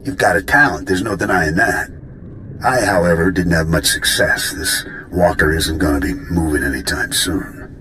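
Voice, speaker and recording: Gruff, forceful, deep-voiced veteran soldier mechanic. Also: male voice, normal speed, slight background noise, rough recording. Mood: easygoing, rueful. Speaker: video game character. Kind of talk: video game voice acting.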